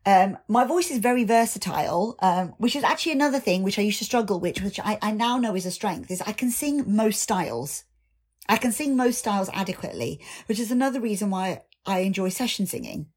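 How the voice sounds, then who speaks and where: A woman in her thirties, a singer and actor, speaking candidly and reflectively in a podcast interview, her voice slightly raspy, with ums and restarts. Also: medium pitch, expressive, a little fast, a little mellow. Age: 30s.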